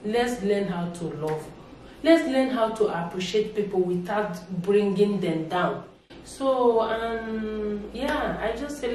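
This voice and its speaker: A young Nigerian woman speaks to the camera from her sofa with lively gestures, repeating words and slipping into Pidgin. Her voice is set back from a distant mic and rings around a very echoey room. A faint hiss sits under it. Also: mid-range voice. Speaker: YouTuber. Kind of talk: casual opinion vlog to camera. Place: indoors, living room with a sofa.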